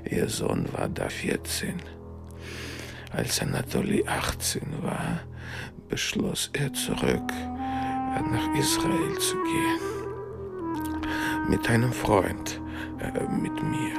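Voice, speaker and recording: Gravelly, very slow émigré. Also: male voice, medium pitch, huge pitch swings, heavily acted. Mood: bitter recollection. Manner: halting, with long pauses. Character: halting male émigré recalling a failed escape from the Soviet Union. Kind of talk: radio drama acting, slow recollection. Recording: music under the voice.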